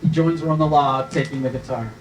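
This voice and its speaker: A man's voice: a little high, fast. A man reading screenplay stage directions as scripted narration at a live table read, picked up by a distant microphone.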